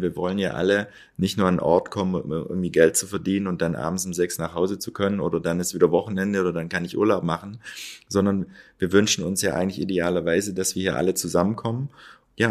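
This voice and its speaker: Low-voiced man musing in an interview. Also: steady tone, fast. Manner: thoughtful, searching, many fillers. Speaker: hotel founder, interview guest. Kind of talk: reflective interview talk.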